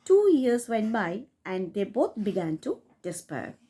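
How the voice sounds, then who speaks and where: A woman reading a classic short story aloud, in a steady storytelling manner that rises for emphasis. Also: mid-range voice, unhurried, slightly rough. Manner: steady storytelling read with rising emphasis on key phrases. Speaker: audiobook reader.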